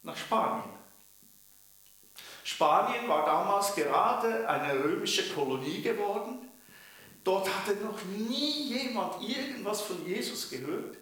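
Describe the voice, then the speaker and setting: A man's voice: high-pitched, lively intonation, slow pace, fairly bright tone. A man from Bern with a Swiss German accent preaching a sermon, telling it emphatically with rhetorical questions. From canton of Bern, Switzerland. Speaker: preacher and mission leader. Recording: very echoey room, distant from microphone.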